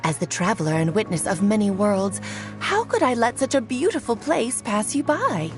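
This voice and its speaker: Woman acting a mysterious witch, video game, teasing, theatrical, swooping pitch, faint music behind. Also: expressive, moderate pace, lightly acted. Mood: gracious.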